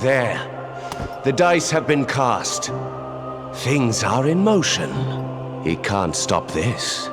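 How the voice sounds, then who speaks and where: An actor playing a suave, fatalistic gambler villain in a video game, talking in an unhurried, philosophical way. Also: male voice, mid-range voice, sing-song. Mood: coolly amused. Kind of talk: video game cutscene acting. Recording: loud music behind.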